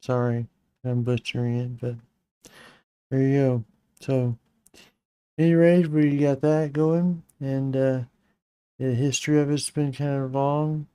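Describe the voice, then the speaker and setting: A middle-aged man with a slightly raspy voice, hosting a casual live-streamed Linux tutorial, rambling with fillers and restarts. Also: medium pitch, little pitch movement, slow pace, long pauses, mellow, no room sound. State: slightly raspy, clearing his throat.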